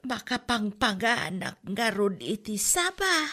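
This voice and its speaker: A woman's voice: mid-range voice, very animated, slow pace, bright, forceful tone, exaggerated voice. A woman playing a nagging, ailing elderly mother in an Ilocano radio comedy drama, with an Ilocano accent. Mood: pleading. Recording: music under the voice.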